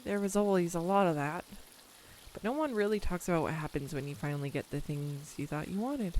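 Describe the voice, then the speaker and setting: A woman's voice: fairly low pitch, a little mellow, slight background noise, close to an everyday voice, rough recording. A young woman acting a tender, nervous childhood friend come home, in an intimate, hesitant audio roleplay monologue. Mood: wistful, reflective. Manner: hesitant, reflective, confiding, with pauses. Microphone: close USB desktop microphone.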